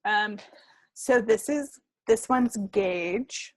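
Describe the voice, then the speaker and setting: A woman's voice: a little high, very slow, no echo, rough recording. A woman co-hosting a casual podcast from home, chatty and playful in her delivery. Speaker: podcast co-host. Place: at home, recording an online call.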